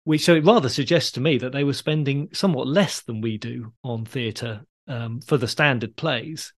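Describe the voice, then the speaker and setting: A man's voice: medium pitch, moderate pace. Man hosting a history chat. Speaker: podcast host. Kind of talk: conversational history discussion.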